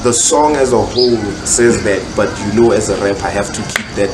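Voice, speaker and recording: Man, South African accent, lowish pitch, slangy explanatory talk, casual podcast guest, very noisy ambient recording. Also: fairly quick. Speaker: podcast guest, rapper and songwriter.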